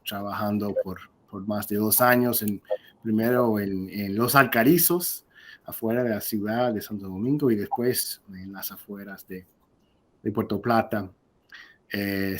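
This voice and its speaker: Soft-spoken man lecturing over video. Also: fairly low pitch. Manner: halting and earnest, frequent restarts. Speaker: guest lecturer. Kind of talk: formal conference lecture.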